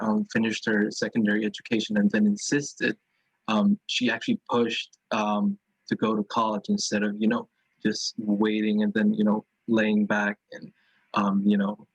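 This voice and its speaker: A man's voice: fairly low pitch, monotone, normal speed, breathy edge, no room sound. A young man speaking as a student panelist in a casual discussion, hesitant and full of fillers. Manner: hesitant, full of "um" and "you know". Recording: dull dry sound.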